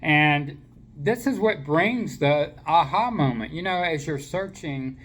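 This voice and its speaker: A man giving a slow, gentle spiritual talk to listeners while he has a slight cold, with many fillers and restarts. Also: on the high side. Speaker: spiritual teacher.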